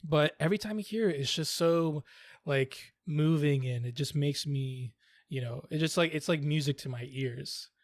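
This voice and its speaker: A man's voice: a little high, moderate pace, no room sound. Young man rambling through questions. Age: young adult. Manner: rambling, searching for words. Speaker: podcast co-host. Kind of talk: casual chat, asking questions.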